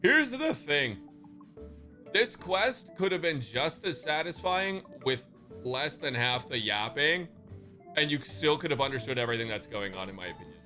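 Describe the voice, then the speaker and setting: Man, live game streamer, bright punchy tone, slightly high pitch, casual slang, profane hyperbole, joking. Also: big pitch swings, moderate pace, faint music behind. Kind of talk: casual livestream chat.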